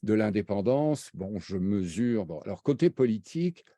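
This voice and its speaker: An older man speaks in a deep, mellow voice, pausing often and restarting his sentences. He recalls his past as an interview guest. The sound is dry and close. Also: lively intonation, dry recording. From raised half in Brazil, half in France. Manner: halting, many fillers and restarts. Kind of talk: hesitant spoken recollection.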